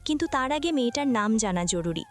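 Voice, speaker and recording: A woman's voice: on the high side, expressive, a little fast, soft background music. A woman reading scripted narration for a romantic family audio story.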